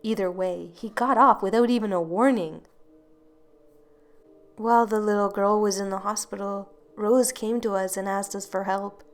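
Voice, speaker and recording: A woman narrating a first-person horror story in a soft, hushed way that sometimes drops to a whisper. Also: mid-range voice, fairly even intonation, normal speed, faint music behind.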